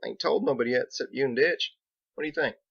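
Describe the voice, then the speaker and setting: A man's voice: mid-range voice, fast talker, creaky, dry recording, highs cut off, voice pushed up. A man voicing a folksy, blunt young small-town sheriff with a drawl in a storybook reading. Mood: sheepish, casual.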